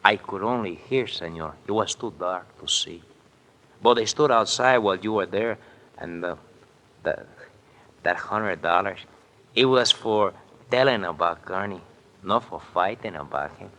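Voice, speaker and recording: A male Mexican informant with a lowish voice and a Mexican accent. He is servile, cautious and eager for money, speaking polite, halting English in an old radio drama. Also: bright, forceful tone. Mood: wary, obliging. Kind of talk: 1950s radio drama acting.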